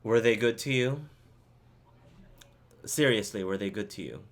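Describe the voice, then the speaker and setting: A man voicing a female captain who speaks in blunt, short questions, in a low voice. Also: a little slow. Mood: probing. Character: stern female captain, the player's sister.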